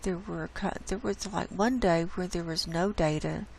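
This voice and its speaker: A woman host with a hoarse voice, casually walking viewers through data shown on her screen. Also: lowish voice, a little mellow. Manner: unhurried, explains data on screen. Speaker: channel host presenting climate data. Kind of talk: casual screen-share presentation.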